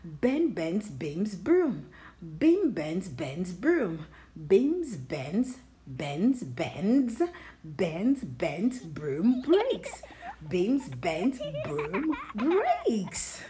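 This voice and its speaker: Female storybook reader, very animated, medium pitch, rhythmic rhyming read-aloud with expressive articulation. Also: very slow.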